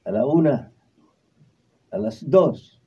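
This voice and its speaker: An actor with a Filipino accent playing a humble, eager street magician who is showy when performing, in a radio drama. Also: male voice, medium pitch, expressive, drawn-out words, dark tone, dull audio, a little hiss or hum. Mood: proud, reassuring. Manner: showy when performing, deferential to his boss. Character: humble, eager male street magician, a struggling family man.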